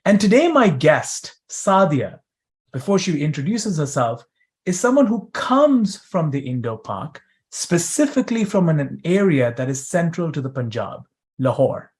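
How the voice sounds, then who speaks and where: A man's voice: a little high, very animated, unhurried, a little mellow. A young man from East Africa hosting a conference interview, talking in a conversational, joking way. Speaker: host, interviewer.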